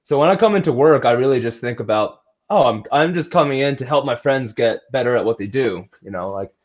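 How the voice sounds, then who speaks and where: A man with an average-pitched voice talks casually as a coach being interviewed. He is earnest and conversational. The recording is slightly dull and lo-fi. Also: animated, brisk. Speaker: athletic trainer and strength coach being interviewed.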